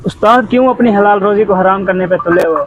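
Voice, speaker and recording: A man's voice: high voice, quick pace, crowd noise, natural voice, low-quality recording. A man playing a conscientious young garage helper who frankly chides his boss, in an acted moral-story skit. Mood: reproachful.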